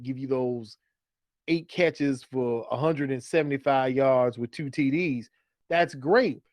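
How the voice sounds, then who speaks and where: A man's voice: fairly high pitch, no echo. An American man hosting a fantasy football show in a conversational way, moving the talk along casually. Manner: conversational, steers segments and poses questions.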